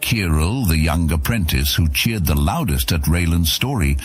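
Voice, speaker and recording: A man narrates a fantasy serial in a deep, husky voice. His scripted storytelling is dramatic, with expressive swings in intonation that keep the tale moving. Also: normal speed.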